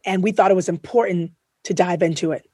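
A woman's voice: lowish voice, a little fast. A woman in her thirties co-hosting a podcast interview, earnest and effusive as she welcomes her guests. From Boston area, US. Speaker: podcast co-host.

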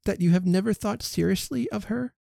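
A man reading the part of a proud young gentlewoman who firmly rejects a suitor, speaking crisply and emphatically. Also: high-pitched, a little fast, pitched above the performer's own voice. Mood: firm refusal. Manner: crisp, emphatic, rising protest.

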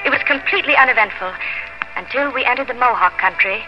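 Actress for determined young colonial heroine, old-time radio drama manner, muffled vintage sound with music. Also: female voice, a little high, fast, natural voice. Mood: wistful, resolute. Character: Nancy, determined young woman fleeing through colonial wilderness. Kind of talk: 1950s radio drama acting, first-person narration.

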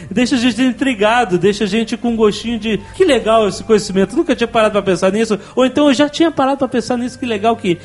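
A Brazilian man with a high-pitched voice hosts a casual conversation. He talks with enthusiasm and animation in informal language, often tagging his sentences to seek agreement. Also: quick pace. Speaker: podcast host.

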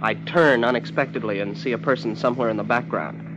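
A polite young heir to a fortune, acted by a man, choosing his formal words with hesitant care. His voice sits a little low, in old radio drama style. A clear layer of noise and hum lies over the recording. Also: fast talker, muffled recording, lightly acted. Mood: hesitant, careful. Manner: formal, hesitant, careful wording. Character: hesitant, well-mannered young millionaire heir, male. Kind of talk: 1950s radio drama acting.

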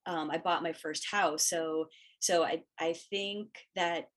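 A middle-aged woman, a woodworking educator born in Hawaii, answering interview questions in a reflective, halting way with many restarts. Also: low-pitched, fairly even intonation.

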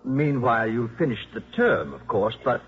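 An actor playing a blunt, impatient church official with a brusque, formal manner in a 1950s radio drama. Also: male voice, mid-range voice, very animated, moderate pace, close to an everyday voice. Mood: stern, disapproving. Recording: muffled 1950s radio, faint noise.

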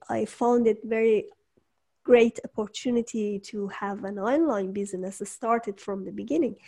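A woman speaking English as her second language, chatting casually about starting her show, animated and laughing often. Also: a little high. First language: not English. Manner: animated, laughs often, acts out quoted voices. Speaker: podcast host and entrepreneur. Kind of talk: casual conversation.

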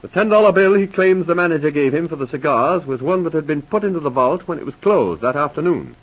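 Earnest, animated police subordinate, husky. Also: male voice, fairly high pitch, quick pace. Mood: earnest, reporting. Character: earnest, puzzled police subordinate reciting case facts. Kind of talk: 1940s radio drama acting. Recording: muffled vintage radio recording.

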